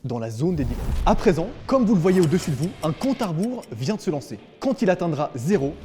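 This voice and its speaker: A man's voice: a little high, very animated, fast, mellow. A man hosting a game show, announcing the rules in a scripted, dramatic way. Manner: dramatic, suspenseful delivery. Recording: long hall reverb. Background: music.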